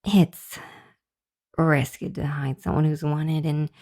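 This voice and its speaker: Woman doing a shy hellhound demon girl for asmr roleplay, lowish voice, real slow, flustered n halting, earnest. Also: level tone, mellow. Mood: flustered, pleading. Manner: hesitant, flustered, halting with sighs and nervous chuckles. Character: shy, earnest young female hellhound demon seeking shelter. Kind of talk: ASMR roleplay addressed to the listener.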